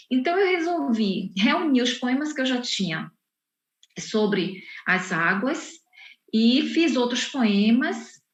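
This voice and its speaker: A woman from Salvador, a poet and professor, speaking unhurriedly at an online book launch and often restarting her sentences. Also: a little high. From Salvador, Bahia, Brazil. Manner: unhurried, with frequent restarts and fillers. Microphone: video call. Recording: muffled, roomy video call audio.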